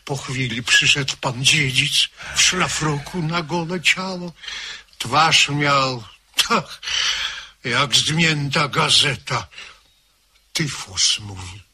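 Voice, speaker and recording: A man playing a priest in a radio drama, recounting events calmly and solemnly. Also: a little high, takes it slowly, breathy edge, exaggerated voice. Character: priest recounting a lady's death.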